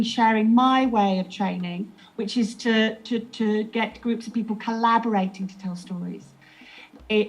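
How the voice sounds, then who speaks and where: A woman's voice: a little high, low-quality recording. A woman in an interview, talking earnestly about training storytellers, often restarting her sentences. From England. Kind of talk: reflective interview answer.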